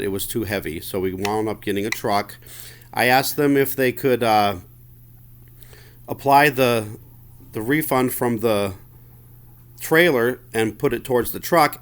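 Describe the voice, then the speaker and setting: A man with a medium-pitched voice lays out a customer complaint in plain, informal words. He speaks haltingly, often doubling back and repeating himself. He comes across as aggrieved. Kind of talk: informal spoken account of a grievance.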